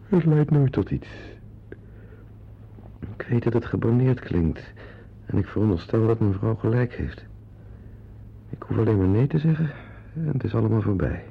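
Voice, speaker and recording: Low-voiced, wordy, grumbling man. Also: gravelly, breathy edge. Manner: wordy, opinionated, hesitant with strangers. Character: Edward Grok, grumbling, self-important husband, confiding in listeners. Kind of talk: radio drama acting with narrated asides. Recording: dull, lo-fi old radio play recording.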